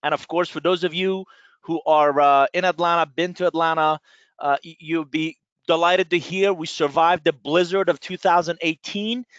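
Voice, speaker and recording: A middle-aged man from Iran, a guest speaker giving an energetic, chatty webinar presentation with many fillers, talking from his office into a computer mic. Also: fairly high pitch, normal speed, clean tone, dry recording. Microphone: computer mic on a webinar call. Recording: dry, dull lo-fi webinar audio.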